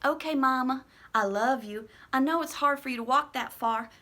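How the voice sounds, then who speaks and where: A woman's voice: high voice, moderate pace, pushed and bright, pitched above the performer's own voice. A woman voicing an earnest young boy talking to his mother. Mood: sweet. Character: eager eight-year-old boy.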